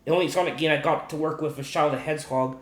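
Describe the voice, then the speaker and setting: A man with a somewhat high-pitched voice hosts a casual, unscripted gaming explainer. He speaks haltingly, restarting and repeating himself as he works through each point. The room around his voice is noticeably echoey. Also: steady tone, a bit bright. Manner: halting, repetitive, circles back over points. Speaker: gaming video host.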